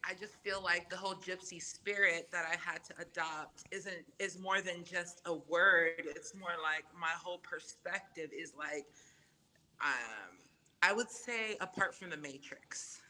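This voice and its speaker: A woman raised in Samoa, Samoan her first language, chatting as a podcast guest in a lively, emphatic way. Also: on the low side, measured pace, poor audio. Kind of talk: casual podcast chat.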